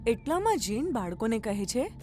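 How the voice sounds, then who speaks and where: High, lively woman storyteller. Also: moderate pace, slight background noise. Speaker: narrator. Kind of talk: scripted children's story narration.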